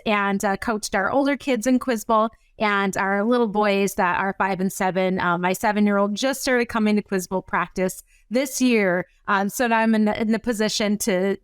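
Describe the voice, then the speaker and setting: A woman's voice: medium pitch, clear, resonant tone, no echo, studio quality. A middle-aged woman from northern Wisconsin, with that accent, speaking as an interview guest. She talks in a chatty, enthusiastic way with long runs of words and filler "uh"s. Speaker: podcast guest, dairy coach. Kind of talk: casual interview chat.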